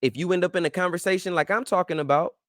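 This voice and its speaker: A man's voice: sits high, steady tone, fast talker, a bit bright, no room sound, clean studio sound. A man hosting his own show, giving casual, slang-filled advice in an emphatic, preachy way and talking straight to his listeners. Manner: informal slang-filled speech. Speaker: show host.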